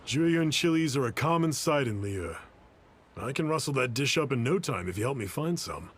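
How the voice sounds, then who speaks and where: A man's voice: mid-range voice, expressive, normal speed, natural voice. Man acting a mid-voiced, earnest village cook. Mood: helpful. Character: kindly, nostalgic male cook in a fantasy town. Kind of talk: video game character acting. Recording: faint background noise and ambience.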